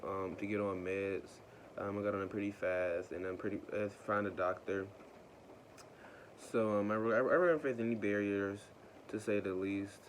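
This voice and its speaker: A man in his twenties speaking in a soft, hesitant way in an interview, with many pauses. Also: lowish voice, a little hiss or hum. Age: 20s. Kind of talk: interview testimony.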